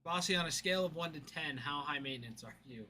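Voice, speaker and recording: A man's voice: on the high side, quick pace, pushed and bright, slight background noise. A man chatting casually on a livestream, easygoing and chuckling between lines.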